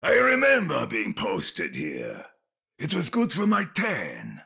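An actor playing a boisterous, proud old armoured knight in a video game, with a German accent and a booming, bombastic delivery. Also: male voice, huge pitch swings, slow pace, sharp tone, exaggerated voice. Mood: steady, gruff. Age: older. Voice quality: gravelly, husky, booming. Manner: bombastic, hearty.

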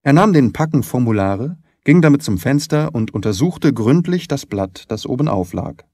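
A man narrating a detective story from a script in a measured, expressive storytelling manner. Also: medium pitch, no room sound, studio quality. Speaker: audiobook narrator.

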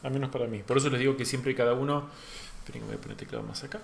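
A man's voice: medium pitch, rapid-fire, gravelly, roomy sound, slight background noise. Man murmuring as he sculpts. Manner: thinks aloud while working, soft and trailing. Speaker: host sculptor teaching. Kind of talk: live-stream sculpting lesson, casual.

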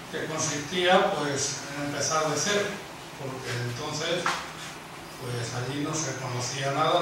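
A man's voice: fairly high pitch, background noise. Man recounting plainly in a distant echoey hall. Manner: plain storytelling with many fillers. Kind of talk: conference talk, recounting experience.

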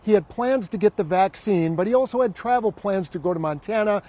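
Middle aged guy doing a tv news report, pretty high pitched voice. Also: brisk, a little mellow. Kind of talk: scripted news report narration and live report.

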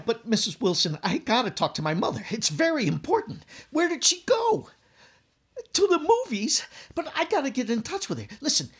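A brash young working-class fellow from a radio comedy, acted by a man. He blusters in folksy slang, his pitch leaping up and down with great liveliness. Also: husky, heavily acted. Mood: anxious. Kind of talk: old-time radio comedy acting.

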